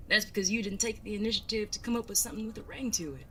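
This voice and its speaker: A woman's voice: medium pitch, very fast, a bit bright, a little hiss or hum, natural voice. A woman acting in an audio drama as a grown bandit son, charming and evasive, with a genteel, wordy, hesitant way of talking. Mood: breezy, affectionate.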